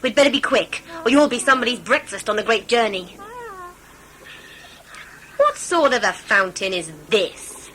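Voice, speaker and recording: Woman acting a wilful young huntress, animated, higher voice. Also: normal speed, pauses often, slight background noise, rough recording. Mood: tender, urgent. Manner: impassioned, defiant, theatrical. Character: wilful, yearning young huntress, daughter of a hunter. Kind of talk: sci-fi audio play acting.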